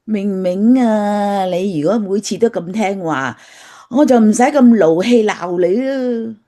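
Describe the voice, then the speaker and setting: A woman's voice: medium pitch, unhurried, dark tone, close to an everyday voice. A woman playing a plain-spoken, skeptical mother in a Cantonese radio drama, with a blunt, complaining manner. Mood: motherly.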